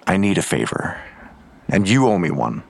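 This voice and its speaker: An actor playing a curt, guarded, world-weary lawman in an audio drama, with blunt, terse delivery and a gravelly voice. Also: low-pitched, big pitch swings, moderate pace, exaggerated voice. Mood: grave, urgent. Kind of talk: full-cast audio drama acting.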